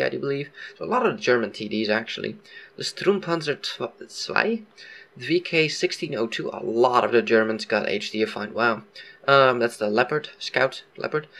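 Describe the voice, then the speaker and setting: A man's voice: medium pitch, gravelly, a bit bright. Man with a cold chatting about tanks. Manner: rambling, frequent ums and self-corrections. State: has a cold. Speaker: gaming video host. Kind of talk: casual game commentary.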